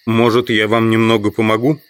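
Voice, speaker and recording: A man's voice: fairly low pitch, level tone, a little fast, natural voice. An actor playing a proud, doubtful visiting man in an audio drama, questioning and slightly condescending. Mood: insistent.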